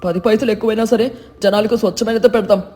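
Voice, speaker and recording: A man's voice: high-pitched, fairly even intonation, fast, darkish tone, natural voice. A man playing a greedy village food-stall owner turned repentant, earnest and theatrical, in a moral story. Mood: resolute. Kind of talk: dramatised moral story narration.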